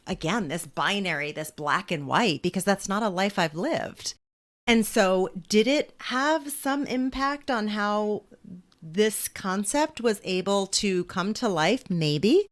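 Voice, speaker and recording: A woman in her forties speaks as an interview guest, reflective and measured. Her voice sits at an ordinary middle pitch, and she laughs easily between thoughts. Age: 40s. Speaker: author and guest.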